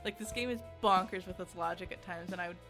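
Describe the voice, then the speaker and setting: A woman's voice: fairly low pitch, fast talker, over music. A woman giving a casual, conversational video game review in an emphatic, informal way, often giggly as she talks.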